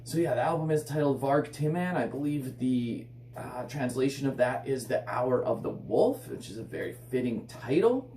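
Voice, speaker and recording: A man with a hoarse, raspy voice from illness, enthusiastically reviewing a metal album in casual, rambling speech full of "like". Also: medium pitch, animated, normal speed, mellow. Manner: enthusiastic, filler-heavy rambling with frequent "like". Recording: echoey room, slightly distant mic, faint hum.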